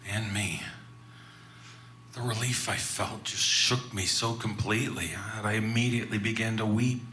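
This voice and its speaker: An actor playing a shaken male survivor, telling his ordeal in a halting, emotional way for a horror audio drama. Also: lowish voice, steady tone, a little hiss or hum. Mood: haunted, grieving. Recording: loud music under the voice. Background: loud music.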